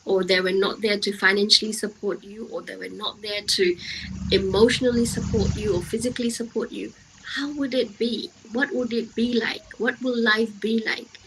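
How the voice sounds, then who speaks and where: A woman's voice: mid-range voice, a bit bright, a little hiss or hum, rough recording. A woman giving a motivational talk to young people, speaking emphatically with repeated phrases and rhetorical questions. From grew up in the Western world, of Indian heritage.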